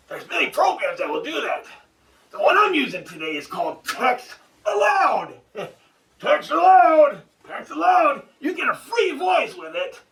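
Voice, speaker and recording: A man's voice: extremely high pitch, gravelly, pushed and bright, recorded from a distance, rough recording. A man presenting an upbeat tutorial as an instructor, with goofy, exaggerated exclamations and asides.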